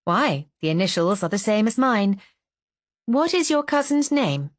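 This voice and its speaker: A woman's voice: mid-range voice, big pitch swings, a little slow, dry recording, voice pushed up. Audiobook role: married society lady, reserved, politely guarded, read by a female narrator, very dry recording. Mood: uneasy curiosity.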